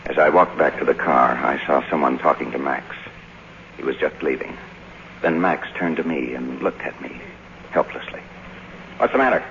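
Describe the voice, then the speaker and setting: A deep-voiced, cynical young screenwriter. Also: male voice, moderate pace, creaky, background noise. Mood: dry, curious. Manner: wry, clipped, laconic. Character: cynical, world-weary young Hollywood screenwriter man. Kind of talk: 1950s radio drama acting and noir narration. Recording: dull old radio broadcast recording.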